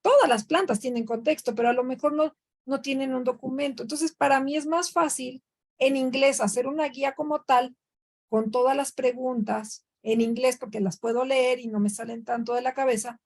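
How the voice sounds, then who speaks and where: Instructora mexicana, voz aguda, habla coloquial con muletillas; llamada en línea. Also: female voice, sits high, darkish tone. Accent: Mexican Spanish. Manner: conversational, frequent fillers and self-corrections. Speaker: workshop instructor. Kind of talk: online training session.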